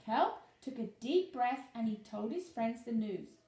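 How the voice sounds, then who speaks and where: A woman tells a children's story in a very animated, sing-out read-aloud style. She goes slowly and stretches words for emphasis, with some creak in the voice. The living room is echoey. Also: on the high side. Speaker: storyteller reading a children's picture book. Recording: echoey living room, faint background noise.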